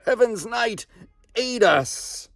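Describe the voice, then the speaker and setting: A man voicing a ghostly host of medieval bowmen, shouting fervent chanted battle cries. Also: very high voice, expressive, extremely slow, no echo, clean studio sound, faint music behind, voice pushed up, exaggerated voice.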